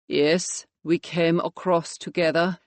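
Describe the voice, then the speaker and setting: A woman voicing a guarded young male trainee chef with an Eastern European accent, speaking in low, terse, broken English. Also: fairly even intonation, slow pace, dry recording.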